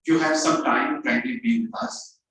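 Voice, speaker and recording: A man's voice: medium pitch, moderate pace, a bit bright. A man thanks a guest and describes the event. His speech halts with many fillers. He sounds far from the microphone, with a strong echo around him. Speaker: event organizer. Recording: echoey hall, from far off.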